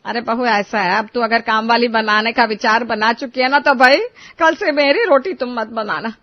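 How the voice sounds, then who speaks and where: A woman's voice: a little high, normal speed, lo-fi sound, voice pushed up, lightly acted. A woman voicing a proud, scandalized elderly mother-in-law who scolds, laments and taunts in a story. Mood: bitter, taunting.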